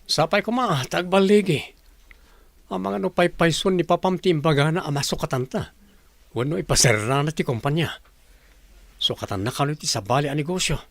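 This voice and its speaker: A man's voice: a little high, brisk, gravelly, pauses often, no echo. A man with an Ilocano accent playing a skeptical, questioning company colleague in a radio drama. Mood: concerned.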